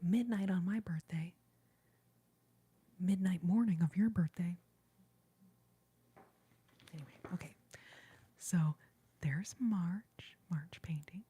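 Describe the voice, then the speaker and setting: A young woman whispering softly in a chatty, rambling ASMR livestream, reading out viewer comments and giggling now and then, close to the mic. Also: lowish voice, takes it slowly, long pauses, slightly rough. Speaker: ASMR streamer.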